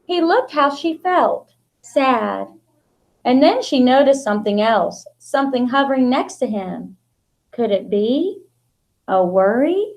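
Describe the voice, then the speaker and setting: This is a middle-aged American woman with a high-pitched voice. She talks as a school principal does when reading a picture book to young children. Her intonation is lively and wide-ranging, with simple, deliberately expressive phrasing.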